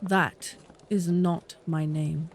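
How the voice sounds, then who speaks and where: Guy doing a proud elf outcast woman for an audio drama, pretty high voice, cold, clipped, defiant. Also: normal speed, a bit bright. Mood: cold defiance.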